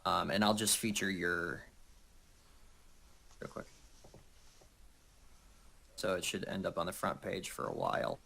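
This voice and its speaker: A man's voice: deep voice, steady tone, measured pace, creaky, pauses often, pushed and bright. A man in a casual group chat, talking informally in a rambling way with mild swearing.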